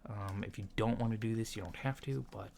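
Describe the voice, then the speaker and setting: A man playing a casual, reassuring alien doctor in a soft ASMR roleplay, speaking in a mild, hesitant, matter-of-fact way. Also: on the low side, a little fast. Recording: lo-fi with clear background noise.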